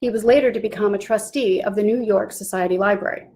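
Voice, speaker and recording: A woman giving a scripted talk on literary history, reading clearly and evenly. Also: medium pitch, quick pace, a little mellow. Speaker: presenter.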